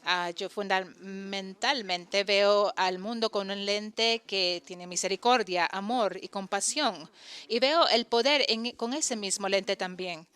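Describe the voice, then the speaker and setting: A woman giving a voice-over interpretation of a conference talk, halting often to correct and restart her phrases. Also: mid-range voice, fairly bright tone, clean tone.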